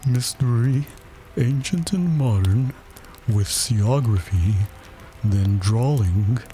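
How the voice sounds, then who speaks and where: A man voicing a mournful, self-pitying, touchy old turtle from a storybook, with drawn-out, sighing delivery. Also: medium pitch, warm tone, soft background music, pitched above the performer's own voice, close to an everyday voice, poor audio. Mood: sighing, sorrowful. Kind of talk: storybook character voice.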